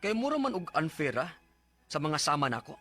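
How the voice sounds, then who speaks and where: A man's voice: fairly high pitch, sing-song, fairly quick, pushed and bright, soft background music. A man acting an exasperated, short-tempered young father in a radio drama, with a Cebuano Filipino accent. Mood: aggrieved.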